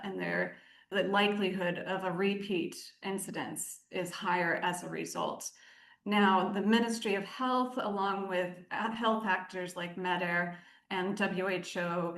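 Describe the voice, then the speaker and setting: A woman giving measured, articulate explanations as an aid director interviewed on the radio. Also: medium pitch, fairly bright tone. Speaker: aid organisation country director, interview guest. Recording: roomy, echoing sound.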